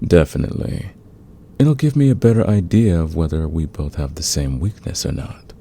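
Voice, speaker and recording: A man playing a smug, flirtatious fantasy villain in a romantic ASMR roleplay, teasing and intimate with a husky, gravelly voice. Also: deep voice, big pitch swings, mellow, slight background noise. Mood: confiding, smitten. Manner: teasing, intimate, drawn-out confiding delivery. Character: flirtatious, smug male fantasy villain, secretly smitten rival.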